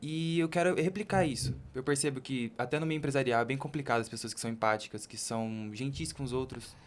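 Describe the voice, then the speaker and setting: A man's voice: medium pitch, fast talker. A young Brazilian man chatting casually and candidly as a podcast co-host, in colloquial speech. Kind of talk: casual personal conversation.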